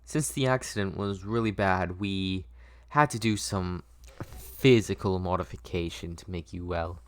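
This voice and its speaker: A man's voice: low voice, unhurried, no echo. A man playing a controlling, obsessive old male doctor who coaxes and soothes in a patronizing way, in a dramatic script reading. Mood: soothing, possessive.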